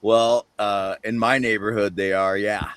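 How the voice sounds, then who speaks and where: A man's voice: on the low side, little pitch movement, unhurried, a bit bright, clear, resonant tone, dry recording. A man from Southern California with a Southern Californian accent, easygoing and self-deprecating as a caller on a call-in show.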